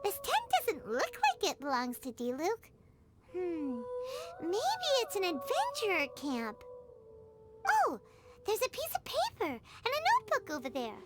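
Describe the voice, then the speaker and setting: A woman voicing a tiny, cheerful, childlike fairy companion in a video game, squeaky, excitable and curious. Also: unhurried, heavy background noise, exaggerated voice. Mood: curious, musing. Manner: exclamatory, refers to self in third person. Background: music.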